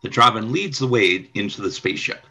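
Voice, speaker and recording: A man's voice: fairly low pitch, animated, a little fast, pushed and bright, slightly rough. A man reading scripted narration for a radio play, describing scenes and action.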